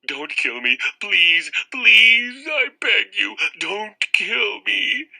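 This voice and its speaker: A man's voice: sits high, animated, extremely slow, vocal fry, sharp tone, pitched above the performer's own voice, heavily acted, rough recording. A man voicing a terrified chubby young boy who sobs and begs as he cries. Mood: begging. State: crying.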